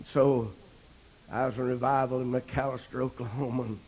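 A middle-aged American man preaching a holiness sermon, moving between folksy storytelling and loud exhortation, punctuating his phrases with amen. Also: mid-range voice, flat delivery, moderate pace. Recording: dull, lo-fi archival sermon recording.

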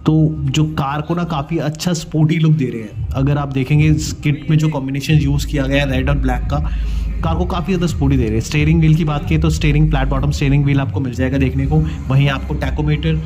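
A man's voice: on the high side, steady tone, quick pace, music in the background. A man giving a casual walkaround car review at a busy indoor auto show, chatty, mixing English words into Hindi. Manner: chatty, mixes English words into Hindi, frequent "guys" and "yaar".

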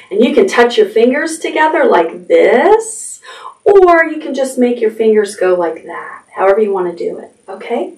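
A middle-aged woman hosting a children's storytime, reading picture books aloud and adding simple, expressive questions and asides for toddlers. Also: medium pitch. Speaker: children's library storytime host. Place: small decorated indoor room with puppets.

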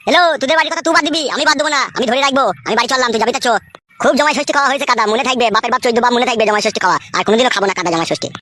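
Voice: medium pitch, racing, bright, forceful tone, slight background noise, lightly acted. A performer voicing an angry, stubborn, foul-mouthed young son-in-law who scolds and argues in a comedy cartoon. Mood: scornful, angry. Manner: scolding, argumentative, crude.

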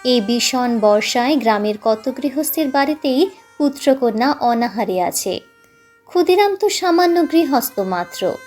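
A woman's voice: on the high side, a little mellow. A woman reading a scripted literary story as a dramatic narrator. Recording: music playing behind the voice.

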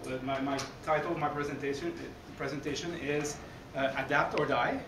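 A man in his forties from Quebec City with a Québécois French accent, giving an energetic, chatty conference talk to coaches and often checking in with "okay?". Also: a little high, recorded from a distance. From Quebec City, Canada. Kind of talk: conference presentation. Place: dark conference hall, speaking in front of a screen. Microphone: room recording from a far camera. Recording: echoey hall, heard from afar, noisy.

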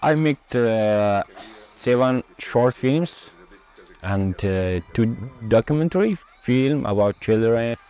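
Young Afghan man, 20s, slow measured second-language speech, clear low voice. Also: lively intonation, warm tone. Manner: slow, careful non-native speech with pauses. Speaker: filmmaker. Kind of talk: documentary interview.

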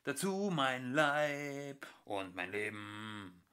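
A man's voice: medium pitch, expressive, sharp tone, smooth, exaggerated voice. A man voicing an overcheerful male ship computer in an audiobook reading, whimpering hymns nasally. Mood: wailing song. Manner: drawn-out, slowed singing lines.

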